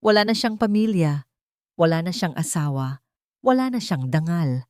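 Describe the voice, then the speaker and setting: A woman's voice: on the low side, expressive, moderate pace, darkish tone, dry recording, studio quality. A woman reading a dramatic scripted story as its narrator.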